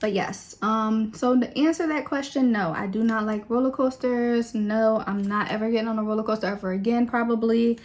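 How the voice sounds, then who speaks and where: A woman's voice: fairly high pitch, normal speed, hardly any pauses. A woman, chatting casually to the camera about herself while she does her makeup. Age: 20s. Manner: chatty and relaxed, with many fillers. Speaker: beauty vlogger.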